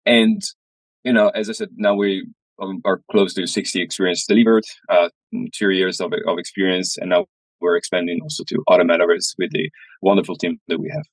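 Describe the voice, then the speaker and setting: Very deep-voiced man. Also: flat delivery, brisk, no room sound, low-quality recording. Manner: hesitant, many uh fillers and repeats. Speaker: guest, studio founder. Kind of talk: casual interview. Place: small room indoors, video call. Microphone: headset.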